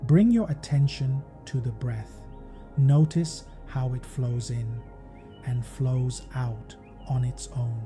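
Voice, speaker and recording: A man narrating a guided meditation in a gentle, measured, soothing manner, as a podcast host leading listeners. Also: mid-range voice. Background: meditation music.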